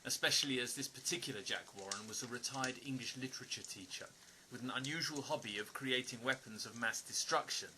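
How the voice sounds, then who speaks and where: A man playing an earnest stranger pleading for trust in an audio drama, speaking haltingly and repeating words. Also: mid-range voice, noisy background, close to an everyday voice. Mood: explanatory, guarded. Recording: music under the voice. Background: music.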